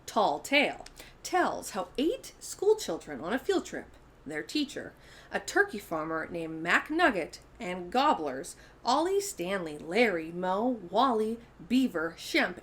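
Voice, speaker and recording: A woman hosting a storytime for young children, reading aloud expressively and speaking directly to her listeners. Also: medium pitch. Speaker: children's storytime host and reader.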